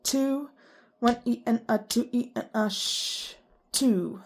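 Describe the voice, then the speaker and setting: A woman music teacher with a congested voice, explaining rhythm reading and counting beats aloud in strict, even syllables. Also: fairly high pitch, slow pace, a little hiss or hum. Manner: chatty and self-deprecating between counts.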